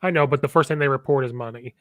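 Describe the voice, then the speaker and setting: A man co-hosting casual sports talk, hoarse and offhand. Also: mid-range voice, very fast, a bit bright, no echo, clean studio sound. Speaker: co-host.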